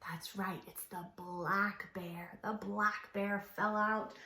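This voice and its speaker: A woman's voice: medium pitch, steady tone, slow pace, pushed and bright. A woman leading a children's storytime, talking in an exaggerated singsong way as if to small children. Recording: echoey room.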